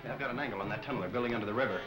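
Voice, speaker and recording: An actor as a rapid-fire, breezy newspaper reporter. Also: male voice, mid-range voice, music in the background, close to an everyday voice. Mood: eager, breezy. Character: eager, breezy, slangy male newspaper reporter. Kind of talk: 1940s film serial acting.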